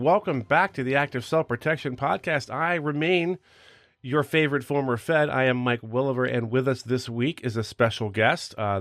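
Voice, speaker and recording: A middle-aged man hosts a podcast in an upbeat, chatty way. His voice sits at a middle pitch. The recording is dry and close, with no room sound. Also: fairly bright tone, no room sound. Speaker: podcast host. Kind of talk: podcast hosting and sponsor read.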